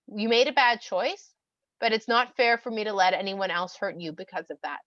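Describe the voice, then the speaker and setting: A woman's voice: medium pitch, fairly even intonation, normal speed, fairly bright tone, no room sound, lo-fi sound, pitched below the performer's own voice, close to an everyday voice. A woman voicing God as a patient, kindly figure who turns firm when scolding. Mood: fair, kind. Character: God, a patient, kindly, then stern parent.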